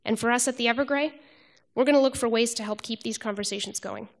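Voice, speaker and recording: A woman reading a prepared essay aloud at a public event in an even, measured way. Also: fairly high pitch, vocal fry. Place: arts center event hall.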